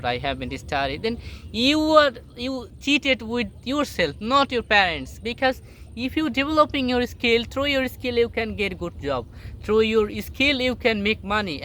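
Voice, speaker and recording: A young man from Bangladesh, a native Bengali speaker, giving a casual motivational talk to camera in halting English full of fillers and restarts, sitting on a boat outdoors. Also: sits high, a bit bright, a little hiss or hum. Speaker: vlogger. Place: sitting alone on a boat outdoors in a rural area.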